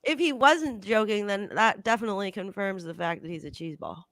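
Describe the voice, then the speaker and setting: A woman's voice: mid-range voice, fairly quick, a bit bright, no echo. A woman in her thirties co-hosting a casual live online chat show from a room at home, playful and teasing, with a cough, talking into a handheld mic. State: has a cough. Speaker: co-host.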